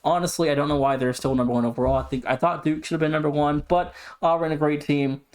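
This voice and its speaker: Male sports podcast co-host, moderately high voice, casual commentary with emphatic, announcer-like phrasing. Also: steady tone, fast, a little mellow.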